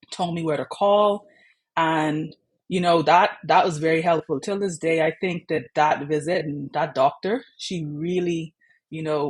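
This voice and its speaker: A woman's voice: deep voice, breathy edge. A young woman from the Bahamas, candidly telling her own patient story in a chatty way. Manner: chatty, frequent "like" and "you know" fillers.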